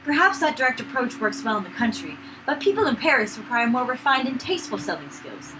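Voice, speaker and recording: A woman's voice: on the high side, sing-song, fast. A woman voicing a kindly, refined female flower shop owner, reading for a visual novel let's play. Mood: mild scolding. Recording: noisy room recording, no proper mic.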